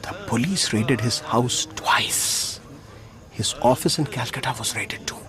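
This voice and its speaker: An actor playing a respectable, well-informed local gentleman with an Indian accent, explaining formally in a radio drama. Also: mid-range voice, measured pace, music in the background, lightly acted. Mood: confiding.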